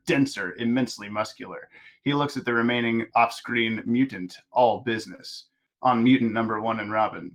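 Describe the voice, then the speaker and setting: A man reading stage directions aloud as narrator at a table read of an animated script. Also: mid-range voice.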